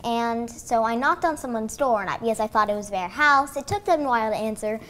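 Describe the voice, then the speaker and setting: A woman's voice: fairly high pitch, a little fast, fairly bright tone. A young author from Pennsylvania telling her stories eagerly in a home kitchen, often starting with "um". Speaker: guest author. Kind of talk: interview answers.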